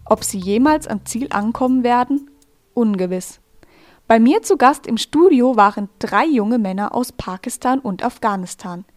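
A woman hosting a radio feature from a studio, telling the story in calm, measured, scripted narration. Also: a little high, soft background music. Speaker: radio host.

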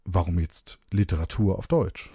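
The man you would hear moderating a literary evening, slightly deep and rough-edged, thinking his questions through at length. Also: moderate pace, dark tone, no echo, studio quality. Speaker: interviewer, host. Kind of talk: conversational interview questioning.

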